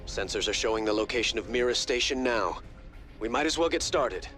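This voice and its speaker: A man's voice: mid-range voice, expressive, fairly quick, lightly acted. A man voicing an earnest, composed prince and adviser in a video game, speaking politely and reasonably. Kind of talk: video game character acting. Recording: loud game music behind.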